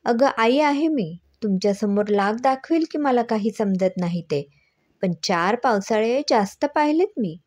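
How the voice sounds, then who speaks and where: A woman's voice: fairly high pitch, lively intonation, measured pace, a little mellow, dry recording, clean studio sound. A woman storyteller voicing a shrewd middle-aged mother who questions in an insistent, worried, motherly way. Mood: probing.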